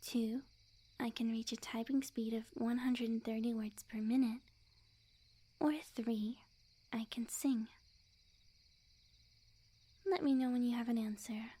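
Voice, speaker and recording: A woman voicing a sweet, obsessively lovestruck, smug young witch in an intimate ASMR roleplay, speaking in a soft breathy near-whisper with quiet menace. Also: fairly high pitch, little pitch movement, a little slow, pauses often. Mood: chatty, smug. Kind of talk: ASMR roleplay, intimate scripted monologue to the listener.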